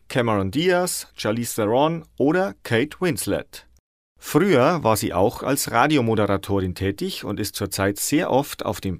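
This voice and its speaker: A man's voice: on the low side, no echo, clean studio sound. A man hosting a podcast, presenting scripted announcements to his listeners. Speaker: podcast host.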